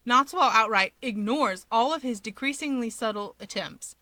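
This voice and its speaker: A woman's voice: a little high, normal speed, bright, forceful tone, no echo. A woman reading fiction aloud as a scripted narrator. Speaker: story reader.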